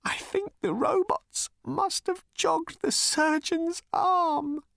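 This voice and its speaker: Crazed weeping journalist for an audiobook, high strained whimper, swings all over, repeats himself. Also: male voice, big pitch swings, a bit bright, no room sound, clean studio sound. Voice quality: whimpering, hoarse whisper rising to strained high cries. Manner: halting, repetitive, overwrought.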